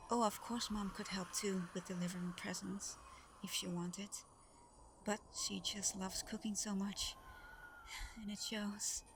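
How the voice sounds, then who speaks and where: Woman to play Santa's grown daughter: gentle, shy, affectionate, hushed ASMR delivery with even intonation, over noticeable background ambience. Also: medium pitch. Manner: soft, tender, hesitant, partly whispered. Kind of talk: intimate ASMR roleplay, soft-spoken and breathy. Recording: clearly noisy background ambience.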